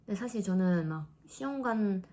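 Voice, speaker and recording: A woman in her thirties chatting casually in a vlog, laughing often and dropping into soft asides. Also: mid-range voice, lively intonation, moderate pace. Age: 30s. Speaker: vlogger.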